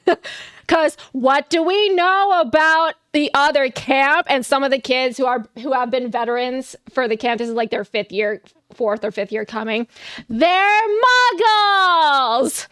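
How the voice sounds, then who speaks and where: Young woman running a tabletop game, high voice, says like a lot, dry close mic. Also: measured pace, a bit bright, clean tone, no room sound. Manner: chatty, filler-heavy, upbeat. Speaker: game master.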